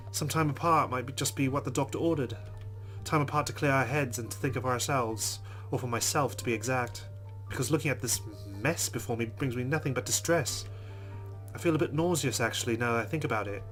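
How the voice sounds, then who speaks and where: A man reading a visual novel aloud as a let's play host, in a plain, measured voice. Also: medium pitch, fairly bright tone.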